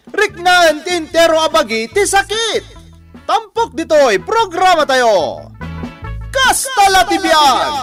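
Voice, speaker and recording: Woman announcer, Ilocano accent, lively varied pitch, scripted radio drama narration, music bed.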